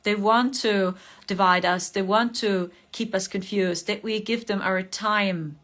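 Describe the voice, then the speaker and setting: A woman's voice: medium pitch, steady tone, a little slow, a bit bright. German-accented woman hosting a spiritual livestream. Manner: chatty, greets viewers, frequent laughter and fillers. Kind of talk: casual livestream chat.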